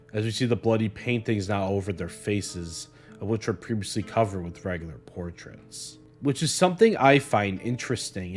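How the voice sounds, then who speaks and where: A man narrating a scripted video essay in a measured, earnest way. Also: fairly low pitch. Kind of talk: scripted analytical narration of a horror series. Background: guitar music.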